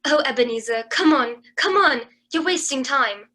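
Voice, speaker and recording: A woman's voice: high voice, moderate pace, heavily acted. A woman playing an eager, affectionate young girl in a radio play, gushing and earnest. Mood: urging. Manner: gushing, earnest, repeating words excitedly.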